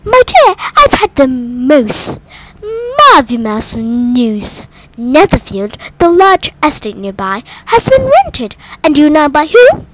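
Shrill fussy mama mouse, super high n loud, all dramatic. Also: female voice, huge pitch swings, slow, a little hiss or hum, heavily acted, rough recording. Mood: excited. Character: fussy excitable mother mouse, nervous.

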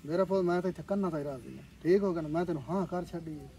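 Old male village father played by a man, proud, firm, rural Pakistani Punjabi accent, high-pitched, quick, village drama. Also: mellow, lightly acted. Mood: reassuring. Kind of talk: village comedy drama acting. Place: outdoors on a cot in village yard. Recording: noisy outdoor village yard, animals, vehicles.

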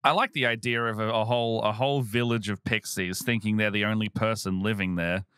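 Male podcast panelist, bright crisp-edged tone, casual delivery, very dry sound. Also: lowish voice, fairly even intonation, brisk, no room sound. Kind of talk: casual chat.

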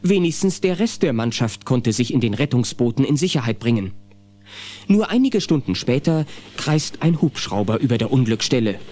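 A man's voice: medium pitch, big pitch swings, normal speed, a little mellow. A man narrating a scripted children's radio play as a storyteller. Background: music.

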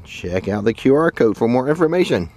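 A man's voice: medium pitch, big pitch swings, brisk, dark tone. A man in his forties with an American accent, chatting upbeat to viewers as he kayaks and tours a riverside park, filming himself at arm's length outdoors. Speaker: travel vlogger. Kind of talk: casual travel vlog narration. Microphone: camera held at arm's length.

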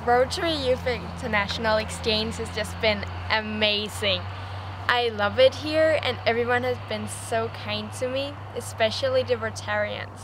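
A woman's voice: fairly high pitch, normal speed, background noise. Earnest teenage girl from Denmark. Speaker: exchange student. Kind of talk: casual testimonial to camera. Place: outdoors on a lawn by a tree.

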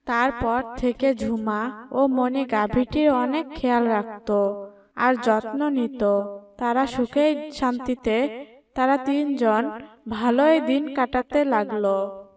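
A woman's voice: on the high side, takes it slowly, a little mellow, far from the mic. A woman narrating a scripted cartoon story.

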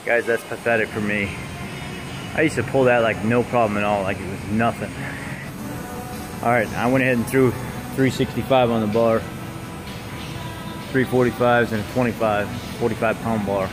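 A man's voice: lowish voice, steady tone, normal speed, pauses often, poor audio. A man in his thirties talking casually and candidly to camera, self-critical, recorded on a phone at arm's length in a gym. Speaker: gym vlogger. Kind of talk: casual motivational chat to camera. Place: large indoor gym. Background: loud music.

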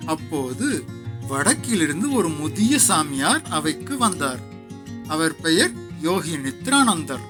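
A male storyteller reads a scripted moral tale. His voice is high and very animated in pitch, and he takes his time, with music behind him. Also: slow pace.

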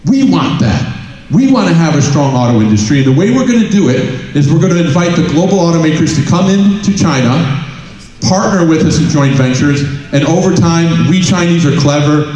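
A man's voice: fairly high pitch, lively intonation, a little fast, darkish tone. A middle-aged man on a stage giving a conference keynote, telling stories and asking rhetorical questions into a headset mic. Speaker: keynote speaker. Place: large conference hall on a stage. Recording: very echoey hall.

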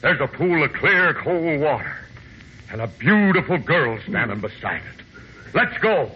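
An actor playing a folksy cowboy sidekick in a 1950s radio comedy sketch, recorded on a muffled old broadcast. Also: male voice, fairly high pitch, big pitch swings, unhurried, slight background noise. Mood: smitten.

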